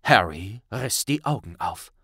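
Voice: low-pitched, lively intonation, measured pace, sharp tone, slightly rough, dry recording, studio quality. An audiobook narrator reading a dramatic scripted story.

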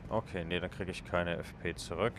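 A man's voice: low voice, steady tone, fairly quick, heavy background noise. A man casually commenting on his game as he plays, thinking aloud and chatting with viewers. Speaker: let's play gamer.

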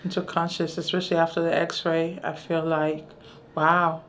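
A woman's voice: low-pitched, level tone, normal speed, fairly bright tone, slight background noise. A woman in her thirties from New York, a patient talking casually in a consultation. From New York, United States. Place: small indoor treatment room.

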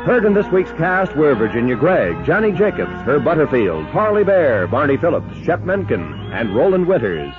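An American male announcer in a 1950s radio style reads scripted credits formally. His voice is slightly high and very animated, over prominent music on a dull, muffled vintage recording. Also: moderate pace, hardly any pauses. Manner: formal, polished projection.

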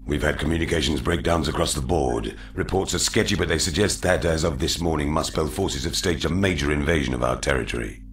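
An actor playing a stern, composed military commander with clipped authority in a game cutscene. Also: male voice, extremely low pitch, fairly quick, few pauses, slight background noise. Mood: firm briefing. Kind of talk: video game cutscene acting. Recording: roomy echo, music behind.

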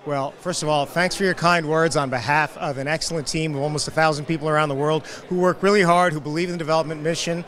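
A man's voice: on the high side, fairly even intonation, quick pace, few pauses, a bit bright. A middle-aged man speaking earnestly and confidently as a guest at a conference booth. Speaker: guest, development company executive. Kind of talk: conference interview. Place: conference hall booth, indoors. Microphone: handheld mic held by interviewer.

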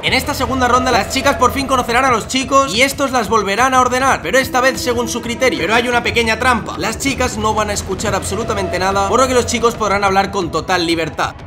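A young man from Spain hosting and narrating a dating show in an energetic, upbeat way. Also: very high-pitched, quick pace, few pauses, over music. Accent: Spanish from Spain. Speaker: host.

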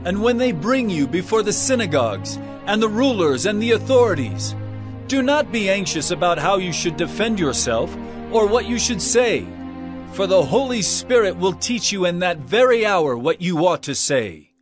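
An actor playing an earnest teacher preaching to his followers in a dramatized scripture reading, with solemn, emphatic, measured oratory. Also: high voice, very animated, over music. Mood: solemn warning.

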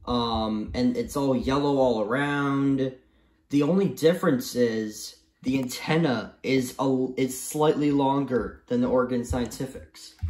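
An echoey home recording of a young man with an American accent and a mid-pitched voice. He talks casually and without a script, hesitating often and circling back over his own words as he films on a handheld phone. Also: darkish tone. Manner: hesitant, rambling, repeats himself. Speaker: hobbyist video maker. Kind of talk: casual unscripted show-and-tell. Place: small indoor room at home. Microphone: phone camera held by speaker. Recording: echoey room, handheld camera.

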